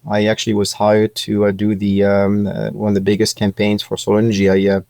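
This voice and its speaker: A man's voice: lowish voice, completely flat delivery, a little fast, gravelly, warm tone, no room sound, clean studio sound. A man from France, speaking as a podcast guest in a hesitant way, with many fillers and restarts. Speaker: podcast guest, business executive. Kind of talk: casual interview talk.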